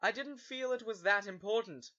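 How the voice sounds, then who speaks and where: A man playing a cocky, evasive, condescending witness in an amateur audio drama, with loud music behind him. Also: sits high, normal speed, lo-fi sound. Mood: defensive.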